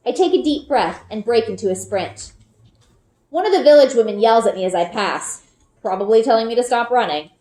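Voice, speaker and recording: A woman reading a children's fantasy novel aloud in an expressive, careful storytelling style. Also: mid-range voice. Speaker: storybook reader. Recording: echoey, reverberant room.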